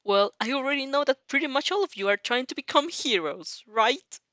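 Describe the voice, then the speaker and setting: A woman's voice: high-pitched, lively intonation, fairly quick, pushed and bright, dry recording, voice pushed up. Woman voicing a weary, easygoing male teacher. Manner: sighing, informal. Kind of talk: amateur audio fanfic acting.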